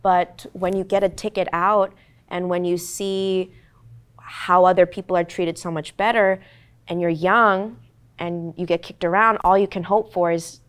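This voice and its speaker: Woman, twenties, actor, native Korean speaker, earnest, reflective, resonant, low-pitched. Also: fairly even intonation, a little slow, clean tone. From United States. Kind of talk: candid panel talk.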